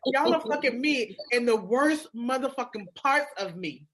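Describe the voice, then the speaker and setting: A woman in a candid, casual podcast chat, expressive and slangy, swearing freely. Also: a little high, moderate pace.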